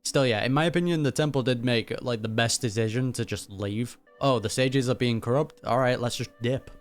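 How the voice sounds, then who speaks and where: A man's voice: mid-range voice, fairly quick, soft background music. A man giving casual, amused reaction commentary over a video game in a laid-back way.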